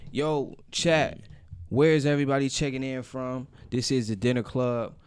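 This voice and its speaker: A man's voice: mid-range voice, little pitch movement, moderate pace, clear, resonant tone, no echo. A man hosting a live stream, hyped and talking to the chat. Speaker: stream host.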